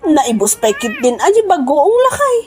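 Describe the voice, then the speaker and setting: High, animated Ilocano village wife played by a woman. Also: normal speed, a little hiss or hum. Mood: exasperated. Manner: exclamatory, dramatic. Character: complaining, excitable village wife in Ilocano comedy drama. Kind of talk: Ilocano radio comedy-drama acting.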